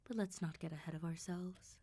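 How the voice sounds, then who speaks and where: Girl doing a vampire mafia boss lady for asmr, low n velvety soft dark voice, polite but threatening, kinda amused. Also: steady tone, husky. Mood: cool threatening. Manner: polite, teasing, threatening, unhurried control. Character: suave, menacing female vampire mob boss, coolly amused. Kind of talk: ASMR roleplay acting.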